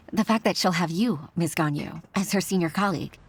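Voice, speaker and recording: A woman's voice: on the low side, normal speed, a little hiss or hum, natural voice. A woman playing an earnest, humble secretary and mother, speaking politely and heartfelt in an anime video game. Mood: reflective, hopeful.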